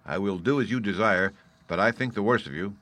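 A man's voice: fairly low pitch, fairly quick, sharp tone. Man playing a blustering, haughty squire. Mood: huffy, grudging. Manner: blustering, pompous. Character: indignant, haughty, excitable country squire, a man. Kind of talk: audiobook character voice.

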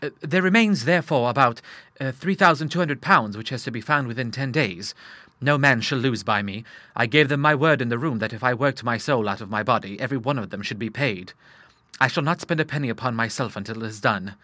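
An honourable son weighed down by debt, in an audiobook novel, played by a male narrator. He speaks earnestly and emotively, with a bright, tense tone in a very dry, close sound. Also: medium pitch, fairly quick, no room sound, lightly acted. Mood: earnest, worried.

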